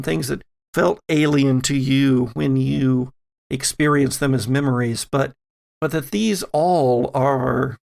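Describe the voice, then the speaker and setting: A man working as game master, narrating a tabletop horror game in a measured way with dramatic pauses. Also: medium pitch, creaky.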